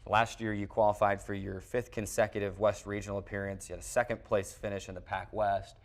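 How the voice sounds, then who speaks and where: Fast-talking low-voiced male sports show host. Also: little pitch movement. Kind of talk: broadcast interview hosting. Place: TV studio.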